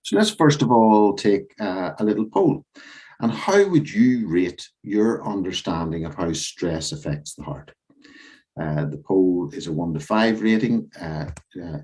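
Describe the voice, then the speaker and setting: Deep-voiced man hosting a webinar. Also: warm tone. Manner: measured, with small slips and fillers. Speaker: host. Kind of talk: reading a scripted webinar introduction.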